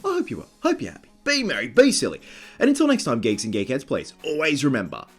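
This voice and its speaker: A man with a stuffy, nasal voice from just waking up, hosting a casual news commentary vlog in a chatty, excitable fan manner. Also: mid-range voice, fast talker, music in the background. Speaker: vlog host.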